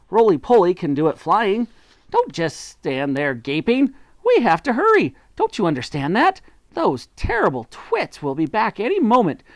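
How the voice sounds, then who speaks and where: A reader putting on a frenzied monkey father, barking orders high and loud with wild swings of pitch in a close, dry sound. He sounds urgent and bossy, hurrying everyone along. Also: no echo, lightly acted. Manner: shouting, urgent commands.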